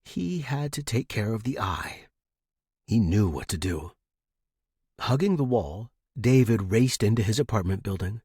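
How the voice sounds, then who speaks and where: A man's voice: fairly low pitch, darkish tone, husky, no room sound. Male fiction podcast narrator, dry sound. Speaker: podcast host and story narrator. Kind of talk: scripted dramatic fiction narration.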